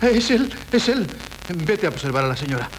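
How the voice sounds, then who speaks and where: Anxious husband, high voice, swooping intonation, stammering, pleading, old radio comedy. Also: male voice, big pitch swings, a little fast. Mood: agitated. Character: flustered, anxious husband disowned by his wife. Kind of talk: 1970s Spanish radio comedy acting. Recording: noisy old 1970s radio recording.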